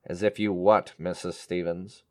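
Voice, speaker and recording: A man's voice: low voice, little pitch movement, a little slow, dark tone, dry recording, clean studio sound. Formal, insistent male police inspector played by an actor. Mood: probing. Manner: formal, pointed questioning. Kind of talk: melodramatic radio play acting.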